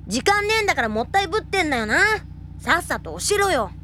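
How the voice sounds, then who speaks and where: A woman's voice: high voice, very animated, fast talker, bright, forceful tone, a little hiss or hum, heavily acted. A woman playing a cheeky, streetwise young boy with brash slang in a Japanese audio drama. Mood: grumpy, impatient. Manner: brash, rough boyish slang.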